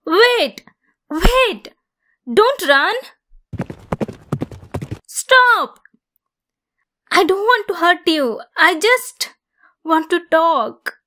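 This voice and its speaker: A woman playing a possessive centaur captor, bossy yet caring, in an ASMR roleplay monologue with a deliberate, teasing delivery. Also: extremely high pitch, sing-song, sharp tone, a little hiss or hum, full character voice. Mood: urgent, pleading.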